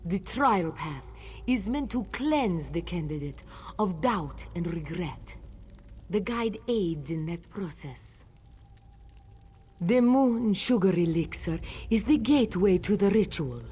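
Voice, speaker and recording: A woman voicing a solemn cat-folk priestess leading sacred rites in a fantasy video game, with measured ceremonial delivery. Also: on the low side, expressive, a little mellow, a little hiss or hum. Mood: solemn explaining.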